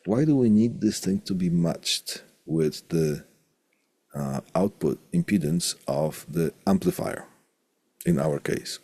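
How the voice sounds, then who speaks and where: A man with a very low voice and a husky edge, lecturing informally as a college instructor. He explains deliberately, circling back over phrases and asking questions that he then answers. The sound is close and dry. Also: mellow, no room sound. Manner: deliberate, repeats phrases and poses questions to himself.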